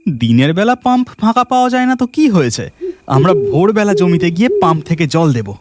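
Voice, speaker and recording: An eager younger farmer brother, quick and keen, as a woman puts him on. The voice lowered yet lively, leaping up and down. Music sits behind. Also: no echo. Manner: lively put-on youthful man's voice, fast bursts. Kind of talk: storybook narration with acted voices.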